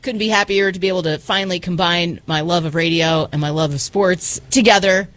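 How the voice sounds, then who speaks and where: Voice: mid-range voice, brisk, fairly bright tone, dry recording. Middle-aged American sports radio host, dry studio sound. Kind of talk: casual chat.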